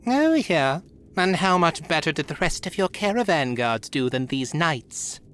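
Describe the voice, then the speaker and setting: A man's voice: on the high side, very animated, a little slow, fairly bright tone, slight background noise. A man playing a snarky, skeptical rodent creature, chatty and sarcastic, in an audio drama. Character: snarky skeptical rodent-folk city dweller.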